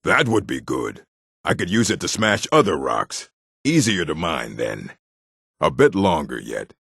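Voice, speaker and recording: An actor playing a gruff, proud orc in a fantasy video game, blunt and stern, with a deep, husky, gravelly voice. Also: male voice, very animated, a little slow, fairly bright tone, dry recording, studio quality, full character voice. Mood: plain, brooding. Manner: blunt, stern, terse, solemn. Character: gruff, proud, hostile male orc of a stronghold.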